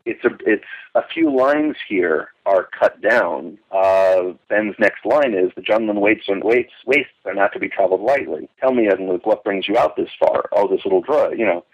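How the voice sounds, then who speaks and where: Male commentator, lowish pitch, conversational and reflective with halting phrasing, close dry lo-fi sound. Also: slightly rough, dry recording. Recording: dull, dry, limited-band audio.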